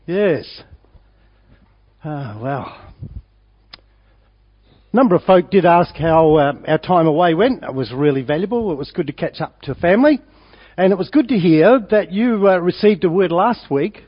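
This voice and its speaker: An older Australian man, hoarse from a winter cold, talking as a pastor in a rambling, halting way with many fillers before his sermon. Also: fairly high pitch. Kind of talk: informal sermon preamble. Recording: dull lo-fi church recording.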